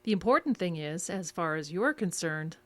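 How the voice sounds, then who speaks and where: A woman playing a composed, cryptic interrogator in a horror audio drama, measured and evasive. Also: on the low side, animated, natural voice. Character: cryptic, composed female interrogator offering help.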